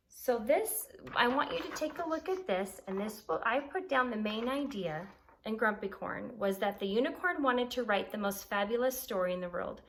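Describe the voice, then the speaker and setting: Animated woman reading to children. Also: on the high side. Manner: expressive, explaining simply to children. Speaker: read-aloud storyteller and teacher. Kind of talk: expressive children's read-aloud with teaching asides.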